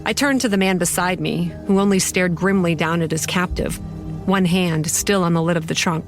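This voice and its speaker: A woman performs a sardonic, haunted young woman narrating her own horror story. Her voice is slightly low, confiding and wry. Music plays clearly behind her. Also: moderate pace, lightly acted. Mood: wry, tense.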